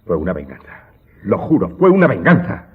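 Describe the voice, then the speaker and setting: A man's voice: on the low side, wildly swooping pitch, fairly quick, breathy edge, heavily acted. An actor playing a frightened, evasive steward in an audio drama, stammering and pleading with his master. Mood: anguished. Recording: muffled, band-limited audio with faint music.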